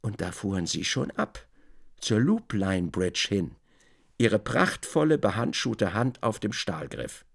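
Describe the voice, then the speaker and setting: Creaky, animated male narrator. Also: on the low side, takes it slowly. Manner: expressive, descriptive storytelling. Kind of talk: literary scripted narration for radio drama.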